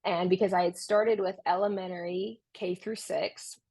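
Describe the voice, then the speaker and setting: A woman's voice: on the low side, fairly even intonation, moderate pace, darkish tone, breathy edge. A young adult woman from Romania, a tutoring business founder, answering interview questions in an earnest way with many restarts. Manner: earnest, with frequent restarts and fillers.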